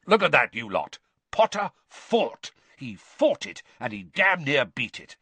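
An intimidating old wizard professor for an audiobook. The voice is a rough, husky growl that swings widely in pitch, with barked, commanding delivery, recorded dry and close. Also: male voice, big pitch swings, a little slow, sharp tone, no room sound, exaggerated voice. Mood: fierce approval. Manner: barking, commanding. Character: gruff, intimidating old male teacher of magical defence.

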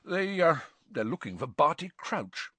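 A man's voice: medium pitch, sing-song, moderate pace, fairly bright tone, slightly rough, dry recording, voice pushed up. A man voicing a jovial, shifty middle-aged sports official in an audiobook, falsely chummy, with a hesitant, confiding, blustering manner. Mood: nervous, evasive.

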